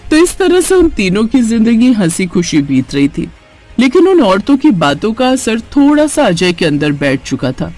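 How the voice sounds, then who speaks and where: A man's voice: high voice, big pitch swings, moderate pace, darkish tone, faint music behind. A man reading scripted narration for a cartoon family story.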